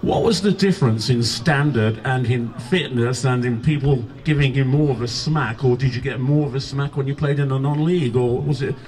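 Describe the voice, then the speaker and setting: A man's voice: medium pitch, moderate pace, hardly any pauses, a little mellow. A man acting as match-day host, who interviews guests and announces teams in a showy, upbeat way. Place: outdoors at a football stadium. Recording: echoey public address, distant, crowd behind.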